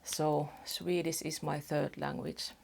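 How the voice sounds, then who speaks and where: A woman with a Finnish accent, chatting casually at home as a beauty vlogger, soft-spoken and rambling with small restarts. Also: low-pitched, flat delivery, slow, gravelly, slightly rough. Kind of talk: casual get-ready-with-me chat. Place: home apartment.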